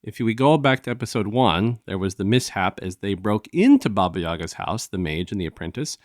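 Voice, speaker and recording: A man with a fairly low voice hosts a casual review discussion. He retells the story conversationally, stopping and restarting, and slips into snatches of dialogue. The sound is dry, with little room around him. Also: lively intonation, fairly quick, dry recording. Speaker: host of a review show.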